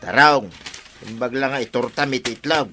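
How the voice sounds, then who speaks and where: A defensive, wily husband on village watch in a comedy radio play, acted by a man. His voice is edgy and very bright, with an Ilocano accent. He argues and protests in his own defence. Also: medium pitch, steady tone, normal speed, soft background music, natural voice. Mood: protesting. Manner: protesting, argumentative. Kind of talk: radio comedy drama acting.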